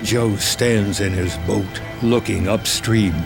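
Slow, low-voiced male narrator over music. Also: steady tone, gravelly. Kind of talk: scripted screenplay narration, present tense.

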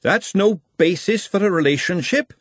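A man voicing a brisk, practical middle-aged woman who runs a kitchen. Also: sits high, expressive, husky, no echo, pitched above the performer's own voice. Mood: scolding. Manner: brisk and scolding.

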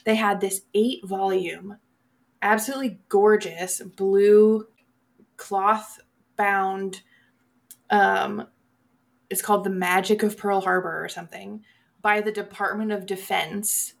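Creaky-voiced woman chatting casually. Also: mid-range voice, steady tone, takes it slowly. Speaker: podcast co-host. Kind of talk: casual chat about horror movies.

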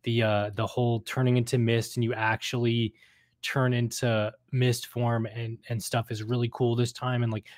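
A man's voice: mid-range voice, level tone, moderate pace, dry recording, studio quality. A man co-hosting a casual gaming podcast, talking enthusiastically in a rambling way and repeating phrases for emphasis. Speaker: podcast co-host.

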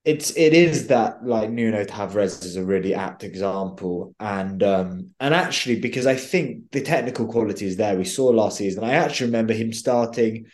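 A man's voice: on the low side, normal speed, few pauses. A young man as a podcast guest, chatting about football with frequent stammered restarts. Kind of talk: casual football podcast chat.

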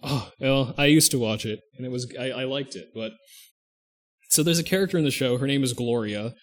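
Guy cohosting a podcast, middle pitch, kinda rambly, keeps backtracking mid sentence. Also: brisk. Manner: rambling, thinks aloud, restarts and self-corrects often. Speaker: podcast co-host. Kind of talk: casual podcast chat.

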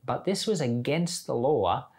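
A man's voice: mid-range voice, expressive, unhurried, darkish tone. A man speaking as a pastor on a Bible podcast, explaining scripture in an earnest, informal, conversational way. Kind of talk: conversational Bible teaching with commentary.

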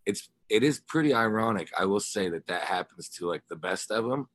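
Male interview guest, American, low voice with a slightly rough edge, loose informal musician talk. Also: lively intonation, a little fast, fairly bright tone, no room sound. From Ozarks, United States. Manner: rambling, informal, slangy. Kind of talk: casual interview chat.

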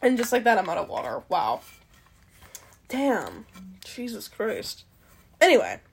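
A woman's voice: a little high, huge pitch swings, unhurried, gravelly, a little hiss or hum. A young woman from Canada hosting a podcast alone, rambling casually with many fillers and some swearing. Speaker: podcast host. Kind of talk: casual solo chat.

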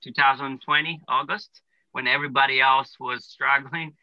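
A man's voice: medium pitch, steady tone, moderate pace, pushed and bright, no room sound, poor audio. A man from Italy with an Italian accent, a plumbing business owner answering interview questions casually, with many fillers. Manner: explains step by step with many "uh" and "you know" fillers.

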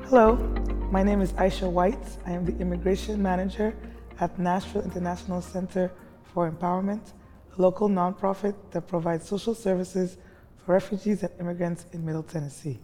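A woman hosting a panel discussion in a large library reading room, speaking in a measured way and reading definitions carefully. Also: lowish voice, fairly even intonation, warm tone, soft background music. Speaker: host and immigration manager. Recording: long reverb of a large hall.

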